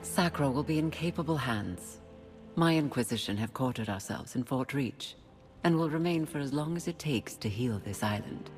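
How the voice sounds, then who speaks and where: An actor voicing a coldly menacing, condescending church inquisitor who speaks in a measured way, over game music. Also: mid-range voice, expressive, close to an everyday voice.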